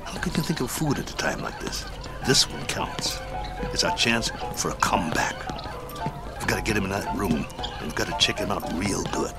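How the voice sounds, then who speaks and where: An eager, deep-voiced fight manager. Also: male voice, very animated, normal speed, over loud music. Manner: eager, pleading. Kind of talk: 1960s-style radio drama acting.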